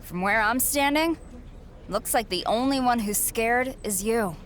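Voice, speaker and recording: A woman's voice: on the high side, unhurried, lightly acted. A woman voicing a confident young female wrestler in game cutscenes, talking in a cool, sarcastic, self-assured way. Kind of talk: video game cutscene dialogue.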